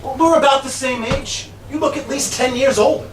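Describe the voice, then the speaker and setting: In a noisy, echoing venue, far from the mic, an actor plays a blunt, hard-faced man in a stage comedy. His very high voice needles and nitpicks as he bickers through the dialogue. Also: fairly quick. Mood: blunt, needling. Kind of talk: live stage play, quick comic banter. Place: small bar performance room. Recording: echoey noisy live venue, distant mic.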